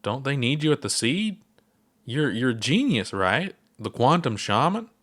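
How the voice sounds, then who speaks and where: A man's voice: medium pitch, big pitch swings, unhurried, a bit bright, voice pushed up. A man reading aloud, voicing an earnest, worried young alien astronaut who presses with eager questions in a raised voice. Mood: urgent.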